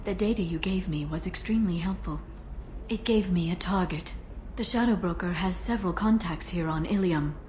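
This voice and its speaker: An actress playing a composed, determined female information broker in a sci-fi video game, measured and earnest. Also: on the low side, a little mellow, close to an everyday voice. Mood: focused. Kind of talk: scripted video game dialogue. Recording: noisy ambient background.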